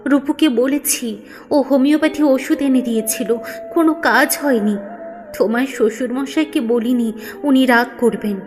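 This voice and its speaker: Woman performing meek middle-class mother, frightened, plaintive, high voice, story drama, music behind. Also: moderate pace, darkish tone, close to an everyday voice. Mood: pained, fearful. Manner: timid, plaintive. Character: meek, frightened, long-suffering mother-in-law. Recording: long reverb, background music.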